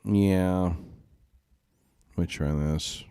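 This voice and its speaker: A congested man with a stuffy nose talks slowly and loosely while hosting his live stream, apologizing and trailing off as he goes. His voice sits on the low side, and the sound is dry and close. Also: steady tone, mellow, clear, resonant tone, dry recording. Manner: rambling and apologetic, many fillers and restarts. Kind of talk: casual live-stream chat. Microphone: home streaming mic.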